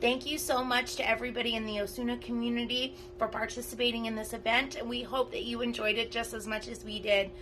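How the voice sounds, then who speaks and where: A woman reading a children's storybook aloud in an animated, emphatic way. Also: fairly high pitch, fast talker, hardly any pauses, noisy background. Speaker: parent volunteer reading aloud to schoolchildren. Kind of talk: expressive children's storybook narration.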